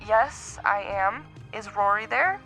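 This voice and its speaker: A woman's voice: mid-range voice, lively intonation, slow pace, sharp tone, soft background music, heavily acted. A woman playing a determined, worried mother who is a space scientist in an audio drama. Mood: tense, urgent.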